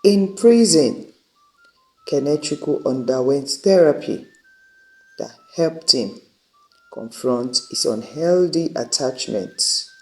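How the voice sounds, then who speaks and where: Very slow-paced male storyteller. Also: on the high side, expressive, a little mellow, rough recording. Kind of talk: scripted dramatic folktale narration.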